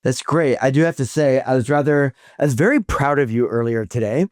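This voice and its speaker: A man hosting a podcast interview, asking questions with halting restarts. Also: medium pitch, darkish tone, no echo, studio quality. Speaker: podcast host.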